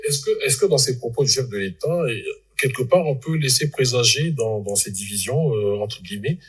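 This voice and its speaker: A man hosting a televised debate, putting questions to guests in a measured, formal way. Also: medium pitch, animated, breathy edge. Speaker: host.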